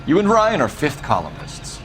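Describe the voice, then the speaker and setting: An actor playing a terse, probing police detective with a sardonic edge in a crime drama video game. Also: male voice, a little high, wildly swooping pitch, normal speed, fairly bright tone, soft background music. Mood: pressing. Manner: terse questioning.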